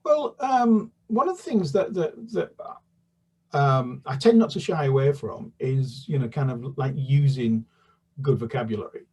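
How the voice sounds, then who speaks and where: Hesitant man, slightly husky. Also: mid-range voice, expressive, a little slow, darkish tone, recorded from a distance. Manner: hesitant, rambling. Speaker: children's author. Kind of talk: conversational interview answers.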